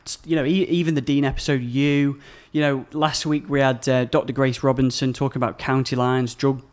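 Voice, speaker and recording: A man's voice: mid-range voice, level tone, brisk. Male podcast host; eager, stumbling, agreeable. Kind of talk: casual chat.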